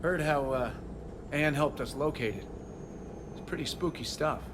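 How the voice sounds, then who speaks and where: A man's voice: on the high side, a little slow, noisy background. A man playing a level-headed military shuttle pilot in a video game, giving businesslike reports. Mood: measured, concerned. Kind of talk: dramatic video game acting. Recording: loud vehicle cabin ambience.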